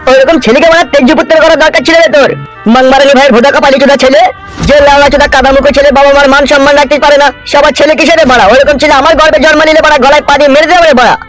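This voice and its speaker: A man's voice: extremely high pitch, steady tone, racing, fairly bright tone, faint music behind. A man voicing a boastful, domineering, foul-mouthed villager in a comedy cartoon, blustering in a rural West Bengal accent. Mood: indignant. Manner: blustering, cursing.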